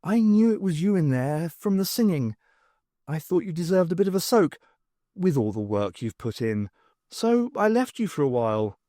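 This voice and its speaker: Man playing a mild, bashful male passenger, lively pitch. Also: moderate pace, no room sound, studio quality. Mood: considerate. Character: gentle, considerate man, bashful ship passenger. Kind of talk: audiobook character voice.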